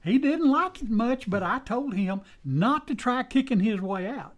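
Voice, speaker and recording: A man's voice: very high voice, lively intonation, unhurried. Guy acting a folksy american cowboy sidekick, drawly and eager to please, voice jumps up and down, old western radio play. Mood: dutiful. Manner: drawling folksy country speech. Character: folksy, deferential frontier lawman's helper, a man.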